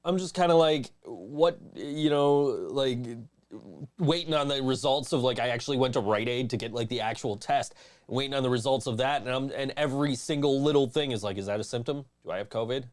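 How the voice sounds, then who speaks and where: A man's voice: medium pitch, brisk. Man, forties, podcast host, casual rambling chat, easily sidetracked. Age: 40s. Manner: rambling, easily distracted, laughs often.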